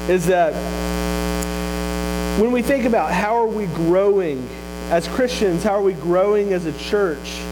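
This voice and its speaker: A guest preacher speaks from a church pulpit, nose stuffed up from a cold. The delivery is earnest and conversational, with dry asides slipped in between points. A loud, steady electrical hum runs under the whole recording. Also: medium pitch, a little slow, long pauses. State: congested from a cold. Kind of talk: sermon. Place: church sanctuary.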